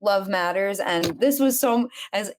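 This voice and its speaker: A woman's voice: mid-range voice, unhurried, a little hiss or hum. A woman with a New York accent, chatting emphatically as a guest on a podcast over a video call. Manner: chatty, emphatic, animated storyteller. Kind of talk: casual podcast chat.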